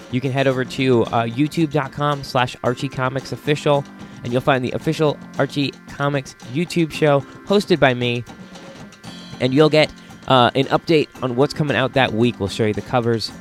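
A man hosting a podcast in a casual, enthusiastic, rambling style. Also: mid-range voice. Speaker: podcast host.

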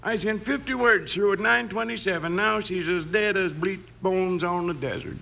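An actor playing a flustered, rustic Old West telegraph operator who talks in folksy cowboy idiom on a radio western. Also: male voice, high-pitched, normal speed, creaky, bright, forceful tone, full character voice. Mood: exasperated, puzzled. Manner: folksy, exasperated cowboy talk. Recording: faintly noisy vintage radio recording.

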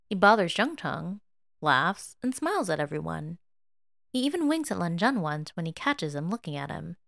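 A woman narrating a piece of fiction as an expressive, scripted audio story reading. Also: on the low side, gravelly, no echo, clean studio sound.

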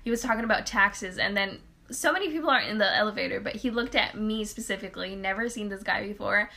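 Bright-voiced young woman, French native speaker. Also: mid-range voice, quick pace, creaky. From Canada, born in Quebec. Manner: animated storyteller, many "like" fillers, laughs often. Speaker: interview guest. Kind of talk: casual conversation.

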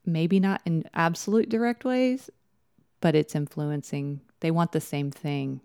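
Low-voiced woman in casual political chat. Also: measured pace, dark tone, clean tone. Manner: opinionated, informal. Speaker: talk show co-host.

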